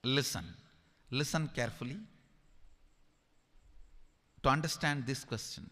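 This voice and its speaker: Male spiritual teacher, Indian accent, bright forceful voice, emphatic instructing delivery, long hall reverb. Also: medium pitch. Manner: emphatic, deliberate, repeats key phrases, instructing. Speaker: spiritual teacher giving a satsang discourse. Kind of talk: sermon-like spiritual discourse to an audience.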